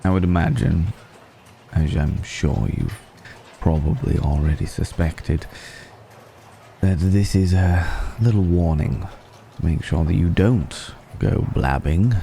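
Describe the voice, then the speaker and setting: A man playing a suave, secretive, courteous vampire noble in an intimate ASMR roleplay, speaking in a gravelly murmur with measured, hesitant pauses and genteel teasing. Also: sits low, mellow, a little hiss or hum, full character voice. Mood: courteous, cautionary. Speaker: audio roleplay performer.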